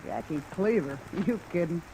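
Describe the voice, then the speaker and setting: A woman's voice: fairly low pitch, animated, normal speed, dark tone, low-quality recording. An actress playing a brassy, slangy woman working the desk of a seedy hotel, casual and familiar, in an old radio drama. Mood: brassy, incredulous. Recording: vintage radio recording, rain noise overlaid.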